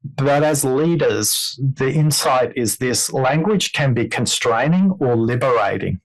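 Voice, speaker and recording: A man hosting a podcast about listening, speaking in a deliberate, coaching way and drawing out insights for his audience. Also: medium pitch, lively intonation, poor audio. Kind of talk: podcast conversation.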